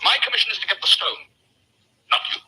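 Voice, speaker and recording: An actor playing a shrewd, commanding, coolly mocking British detective in an old-time radio mystery, with crisp, theatrical delivery. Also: male voice, fairly quick. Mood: firm, persuasive. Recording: old radio recording, bright and rough.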